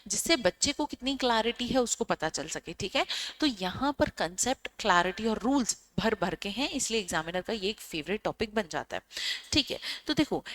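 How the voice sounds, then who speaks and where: A woman's voice: mid-range voice, fairly bright tone. Young indian woman teaching exam law, chatty, keeps asking okay?, indian english accent. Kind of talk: casual Hindi-English exam revision lesson.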